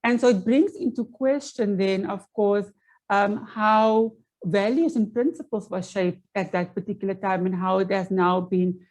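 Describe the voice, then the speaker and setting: A woman with a South African accent, Afrikaans her first language, giving an earnest, measured talk over a video call from home, with frequent fillers and restarts. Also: medium pitch, a little mellow, rough recording. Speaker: panel presenter. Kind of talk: online conference presentation.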